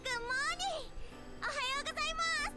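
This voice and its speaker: Very high-pitched anime schoolgirl. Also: a little slow. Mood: brash, earnest. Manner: exaggerated, emphatic delivery. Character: anime schoolgirls, lively, brash and very earnest. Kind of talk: Japanese visual novel voice acting. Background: loud game music.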